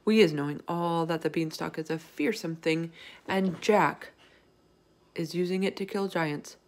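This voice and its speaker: A woman voicing a gentle, cautious male giant in a storybook, speaking in hushed tones with quaintly muddled grammar. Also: lowish voice, a little slow, close to an everyday voice. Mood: hushed awe. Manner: hushed, whispering, explanatory. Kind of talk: dramatized storybook reading.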